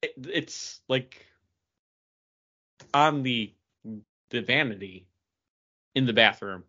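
A man's voice: medium pitch, expressive, takes it slowly, bright, forceful tone, dull audio. A man co-hosting a podcast, chatting casually and tossing in dry, joking asides. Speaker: podcast co-host. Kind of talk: casual bantering podcast chat.

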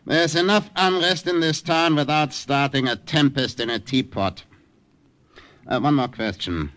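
An actor playing a stiff, officious German commandant in a 1940s radio drama. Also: male voice, mid-range voice, unhurried, fairly bright tone, natural voice. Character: German occupation commandant, pompous but suspicious.

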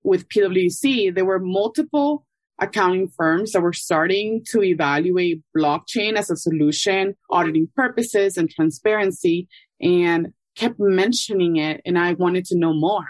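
A woman from Ecuador, a guest calmly explaining her background in an interview. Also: lowish voice, a little slow, no room sound. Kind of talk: casual interview answers.

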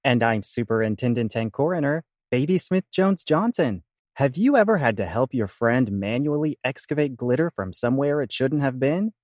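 A man's voice: mid-range voice, animated, normal speed, a little mellow, no room sound, clean studio sound, lightly acted. A man playing an oddball small-town coroner, matter-of-fact and crude, co-hosting a comedy podcast. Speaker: podcast co-host. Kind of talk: comedy audio drama podcast.

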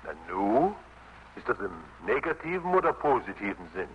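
A man's voice: lowish voice, big pitch swings, moderate pace. A man playing a haughty, menacing officer in a 1950s radio drama. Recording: muffled noisy old radio broadcast.

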